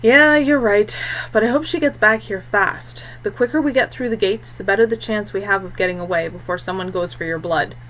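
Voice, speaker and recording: A woman voicing a wary, pragmatic young male warrior in an audiobook, brisk and matter-of-fact. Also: mid-range voice, fairly bright tone, a little hiss or hum, close to an everyday voice, poor audio. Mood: wary, hurried.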